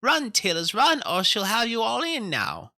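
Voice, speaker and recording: A man's voice: wildly swooping pitch, measured pace, pushed and bright, full character voice. A man doing tiny twittering tailor mice. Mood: merry singing. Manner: high twittering singsong.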